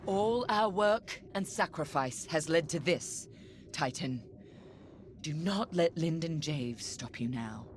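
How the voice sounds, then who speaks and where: An actress playing a cold, commanding Imperial officer briefing a pilot in a video game. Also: female voice, sits low, animated, takes it slowly, slight background noise. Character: stern woman Imperial officer giving mission orders.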